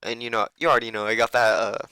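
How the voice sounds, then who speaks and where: Young man, raspy and bright-toned, casual profane game coach, dry close mic. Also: medium pitch, flat delivery, rapid-fire, no room sound. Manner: loose, profane, rambling.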